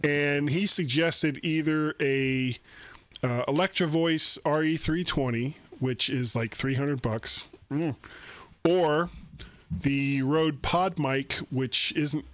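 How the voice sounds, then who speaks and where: A man's voice: a little high, slow, a bit bright, no echo. An older man hosting a casual tech podcast on a video call, rambling and chatty, laughing easily, from a home room into a studio microphone. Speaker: podcast host. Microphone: studio microphone on a boom.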